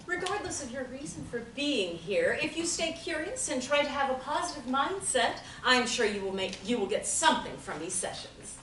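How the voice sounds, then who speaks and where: A polite, formal therapist, read by a woman at a table read, her voice fairly high and lively in pitch. She is recorded from well back in a roomy space with a little noise underneath. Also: moderate pace, few pauses. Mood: calm. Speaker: actor in a table reading. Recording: roomy audio from far off, faint noise.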